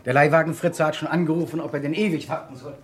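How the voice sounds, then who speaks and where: An actor playing a brisk, dry-humored police detective and protective brother in a crime radio play. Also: male voice, a little high, steady tone, soft background music, natural voice.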